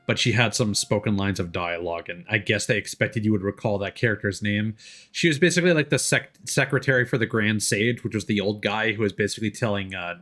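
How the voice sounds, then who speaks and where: A man casually commenting on a game live stream, thinking aloud in a halting way. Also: fairly low pitch, fairly bright tone.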